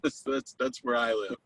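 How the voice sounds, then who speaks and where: A man's voice: mid-range voice, huge pitch swings, takes it slowly, gravelly, pushed and bright. A man hosting a casual online group video call, chatting in an effusive, sentimental way. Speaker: host of an online spiritual study group.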